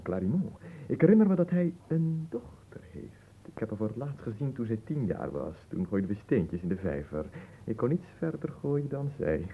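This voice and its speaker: A man's voice: medium pitch, warm tone, slightly rough, rough recording. An actor playing a bored, spoiled young nobleman in a radio drama, melodramatic, languid and pompous. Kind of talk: theatrical radio drama acting.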